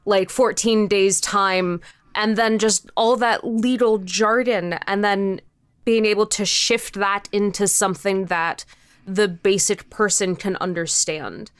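A woman's voice: mid-range voice, steady tone, slow, smooth, no echo. A woman chatting casually as an interview guest, telling stories in a rambling, emphatic, informal way. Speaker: interview guest, creator of a podcast show. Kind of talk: casual chat, storytelling.

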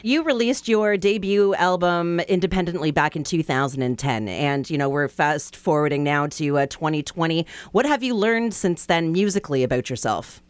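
A woman's voice: low-pitched, normal speed, few pauses, clean tone, dry recording. A woman asking conversational questions as an interviewer.

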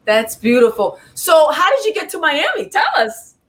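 A woman's voice: sits high, sing-song, moderate pace, fairly bright tone. A woman from New York hosting a talk show, interviewing a guest in an upbeat, friendly, chatty way.

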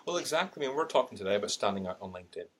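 A man's voice: fairly low pitch, rapid-fire, breathy edge. A middle-aged man with an Irish accent, a podcast guest telling his story candidly and animatedly, acting out what he thought at the time. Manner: animated, acts out quoted thoughts with laughing asides. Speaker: podcast guest, sales and LinkedIn trainer.

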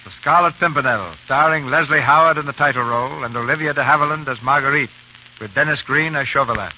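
A man's voice: medium pitch, fast talker, noisy background. A man narrating a 1930s radio drama as its announcer, in scripted style. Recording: muffled old radio, loud music. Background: loud music.

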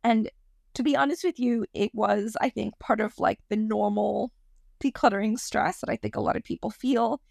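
A woman in her thirties hosting a podcast, talking casually on her own with a croaky voice, rambling and apologizing for her digressions. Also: mid-range voice, animated, moderate pace, a little mellow, no echo, studio quality. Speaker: podcast host. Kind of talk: casual solo podcast monologue.

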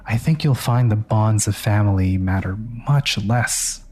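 A man playing a haughty, menacing emissary of a crime family in audio fiction, condescending, sardonic and deliberate. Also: lowish voice, darkish tone, lightly acted. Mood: cold menace. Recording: music under the voice. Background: music.

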